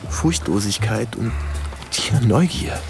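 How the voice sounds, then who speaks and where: An actor playing a reflective young army lieutenant, telling a war story in literary first-person narration for a German radio play. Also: male voice, fairly low pitch, animated, takes it slowly, gravelly, exaggerated voice. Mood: vivid, intent. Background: music.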